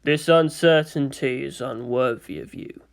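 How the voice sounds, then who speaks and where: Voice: medium pitch, measured pace, gravelly, voice pushed down. A narrator voicing a severe, unforgiving toy space soldier who speaks in pronouncements. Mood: stern. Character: stern, unforgiving Space Marine figurine.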